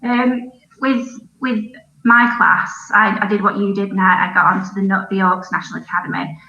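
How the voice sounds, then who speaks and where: A woman's voice: mid-range voice, steady tone, normal speed, bright, forceful tone. A woman teacher with a Northern English accent, modest and self-deprecating as she starts speaking. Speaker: primary school teacher. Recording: dull, echoey, distant from mic.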